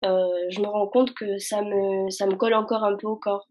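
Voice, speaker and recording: A woman's voice: mid-range voice, little pitch movement, moderate pace, darkish tone, slight background noise. A young woman speaking in a casual conversation, hesitant and searching for words with many fillers.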